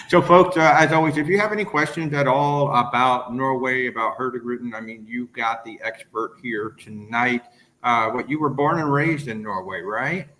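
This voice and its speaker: A man's voice: medium pitch, moderate pace, pushed and bright, slight background noise, low-quality recording. An American man hosting a live travel webinar, enthusiastic, laughing often and greeting viewers by name. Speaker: travel agent host.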